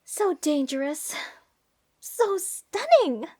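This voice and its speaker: Woman acting a high-voiced, giddy, unhinged lady mage. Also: big pitch swings, extremely slow, noisy background, full character voice. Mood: gushing, fascinated. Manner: breathy gasps, gushing, rambling, sweetly menacing. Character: giddy, unhinged female mage who adores cursed monsters. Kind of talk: fantasy ASMR roleplay acting.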